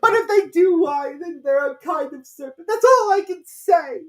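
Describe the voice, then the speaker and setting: Screechy indignant mama pigeon, storybook style, super high and scolding, wailing all over the place. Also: female voice, animated, normal speed. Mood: scornful, sulky. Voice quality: shrill, screechy. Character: indignant, frantic, shrieking mother pigeon. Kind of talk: storybook character voice.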